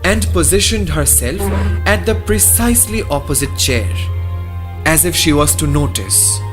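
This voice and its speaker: A man playing a frightened young man who recounts his nightmare in a horror radio play, with dramatic, deliberate delivery. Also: a little high, expressive. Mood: uneasy. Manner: slow, dramatic, deliberate storytelling. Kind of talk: first-person horror radio drama narration. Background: dramatic music.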